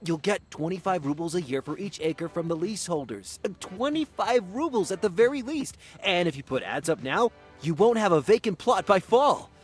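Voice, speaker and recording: An actor playing an eager, awkward self-made merchant of peasant birth in a visual novel, earnest and halting, sometimes stammering. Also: male voice, sits high, lively intonation, slightly rough, soft background music. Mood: eager pitching. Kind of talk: naturalistic visual novel acting.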